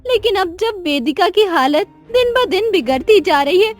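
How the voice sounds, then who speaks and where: A woman's voice: very high voice, expressive, normal speed, soft background music. A woman voicing a remorseful young queen and anxious mother in a cartoon story, speaking in an earnest, pleading, dramatic way. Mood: tearful remorse. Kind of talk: Hindi cartoon story dialogue.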